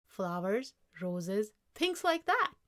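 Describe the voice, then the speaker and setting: A woman's voice: mid-range voice, sing-song, slow pace, a bit bright. A woman voicing an eager, earnest young Mexican-American boy in a storybook read-aloud, pleading and insistent. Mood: reassuring.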